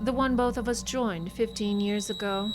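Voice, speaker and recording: A woman's voice: mid-range voice, steady tone, close to an everyday voice. A woman playing a cold, cultured, condescending female vampire in a radio drama. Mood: cold, menacing. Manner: measured, condescending, theatrical. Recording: loud music under the voice.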